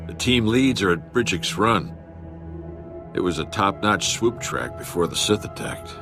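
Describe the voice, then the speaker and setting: A man's voice: lowish voice, lively intonation, measured pace, music in the background. An actor playing a helpful, businesslike male government aide in a video game. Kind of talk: video game cutscene acting.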